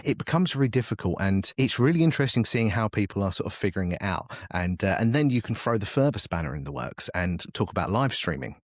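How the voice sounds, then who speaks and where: Man, interview guest, quick pace, dry close sound, informal, explanatory, casual chat. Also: fairly low pitch, big pitch swings, no room sound. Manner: explanatory, informal, thinks aloud. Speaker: podcast guest, media industry professional.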